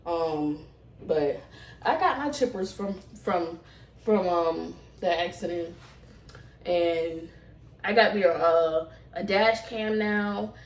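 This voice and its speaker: A woman's voice: lowish voice, slow pace. Echoey, distant woman telling a casual story. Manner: animated, rambling, slangy delivery with emphatic repeats. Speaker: vlogger. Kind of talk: casual storytime chat to camera. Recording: roomy echo, distant microphone.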